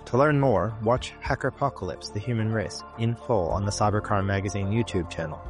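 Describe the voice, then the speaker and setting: A man's voice: on the low side, a little fast. A man reading a scripted documentary promo in a serious, announcing delivery. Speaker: promo narrator. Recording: voice-over over loud music.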